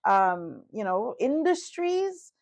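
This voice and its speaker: A middle-aged woman from Trinidad and Tobago with a Trinidadian accent, co-hosting a podcast in an animated, chatty way full of "you know" asides. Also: a little high, slow pace, gravelly. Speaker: podcast co-host. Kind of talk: casual chat.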